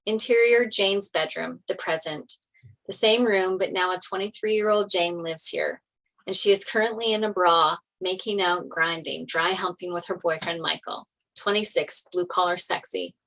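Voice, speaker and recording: A woman narrates a screenplay, reading out the stage directions. Her voice is a little low in pitch, in a table-read style. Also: fairly even intonation, normal speed, a bit bright, poor audio.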